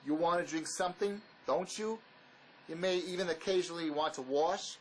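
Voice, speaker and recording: A man's voice: fairly high pitch, moderate pace, slight background noise, lightly acted, poor audio. A man playing a desperate, pragmatic fugitive ex-convict in an audio drama, terse and dismissive, bossy as he talks his partner round. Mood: matter-of-fact.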